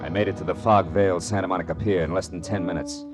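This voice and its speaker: An American actor playing a tough, sardonic private detective in a 1940s radio drama, terse and wry. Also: male voice, low-pitched, fairly even intonation, fast talker, natural voice. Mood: grim narration. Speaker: lead actor and narrator. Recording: vintage radio drama with music.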